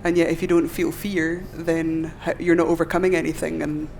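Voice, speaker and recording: The chair has a mid-pitched voice and asks short questions that prompt the guest to go on. Brief affirmations fill the gaps between answers. A faint hiss sits under the stage recording. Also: little pitch movement, brisk, vocal fry, darkish tone. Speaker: festival event chair interviewing the guest. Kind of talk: live festival interview. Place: live event stage before an audience.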